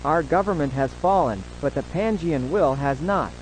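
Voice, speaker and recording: A man playing a formal, solemn government announcer, reading a scripted emergency broadcast in a measured way. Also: fairly high pitch, a little mellow, slight background noise, natural voice, poor audio. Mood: grave, resigned.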